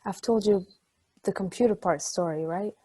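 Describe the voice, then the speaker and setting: A woman's voice: medium pitch, moderate pace, breathy edge, natural voice. A woman playing a playful, chatty married ex-athlete in a casual, conversational stage play table read. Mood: chatty storytelling. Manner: casual, swearing, animated storytelling.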